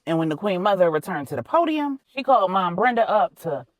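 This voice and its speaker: Female narrator for colloquial fiction; animated delivery, emphatic and conversational, in a dry recording. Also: lowish voice, a little fast, no echo. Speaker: storyteller, author narrating own fiction. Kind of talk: expressive audiobook-style storytelling with colloquial phrasing.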